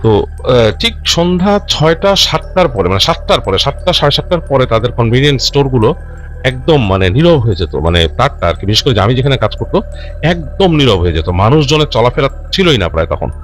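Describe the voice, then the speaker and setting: Man telling stories with lively, swooping pitch. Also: soft background music. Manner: halting, self-correcting, repeats and rephrases phrases as he goes; mixes English words into his speech. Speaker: host narrating listener-submitted ghost stories. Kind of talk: unscripted conversational storytelling.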